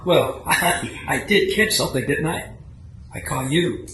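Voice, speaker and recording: A man's voice: fairly high pitch, a little slow, fairly bright tone. A roomy, noisy recording of a man acting as a defensive radio-comedy husband. He is earnest and fussy, stammering and doubling his words as he pleads his case. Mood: earnest, affectionate. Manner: stammering, pleading, repeats himself. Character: earnest, defensive, health-obsessed hypochondriac husband. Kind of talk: comic radio-play acting. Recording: roomy, echoey live recording, clear background noise.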